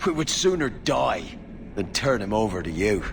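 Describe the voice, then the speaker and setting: Grave, defiant elf man, low voice. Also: sing-song, moderate pace, gravelly. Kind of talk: video game voice acting. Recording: noisy game cutscene capture.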